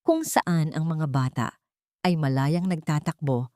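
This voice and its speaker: A woman's voice: low voice, a little slow, dark tone, no echo, clean studio sound. A woman reading a scripted, dramatic audio story as its storyteller.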